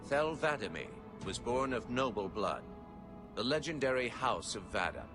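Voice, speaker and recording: An actor playing a severe, pious alien scribe, narrating video game lore in a formal, ceremonious, foreboding manner. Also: male voice, mid-range voice, animated, normal speed, music in the background, lightly acted. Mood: reverent, grand.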